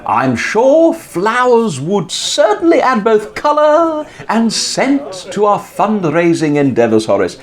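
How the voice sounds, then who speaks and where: Comic radio vicar, male actor: English, genteel, giggly, slow and extravagantly sing-song. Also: high-pitched, huge pitch swings, heavily acted. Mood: admiring. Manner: effusive, genteel, tittering, drawn-out delivery. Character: fussy, effusive, giggly village vicar, male. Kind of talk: comic radio drama acting.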